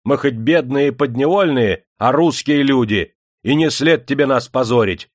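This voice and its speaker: A man's voice: medium pitch, moderate pace, no room sound, studio quality, voice pushed up, close to an everyday voice. A man voicing a defiant, proud serf craftsman in an audiobook, protesting firmly and heatedly. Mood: angry defiance.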